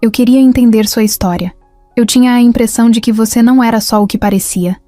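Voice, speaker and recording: A woman's voice: a little high, steady tone, fast talker, dark tone, dry recording, studio quality, faint music behind, close to an everyday voice. A woman reading as an earnest male company boss.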